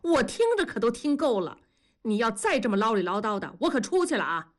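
A woman's voice: medium pitch, big pitch swings, normal speed, breathy edge. A woman voicing a grumpy, stubborn retired husband in an audio story, grumbling in colloquial northern Chinese speech. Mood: exasperated.

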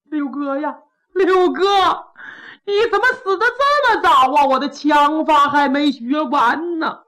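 A woman voicing a hot-tempered young male warrior who talks loud, coarse and blunt. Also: on the high side, big pitch swings, unhurried, breathy edge, heavily acted. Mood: wailing.

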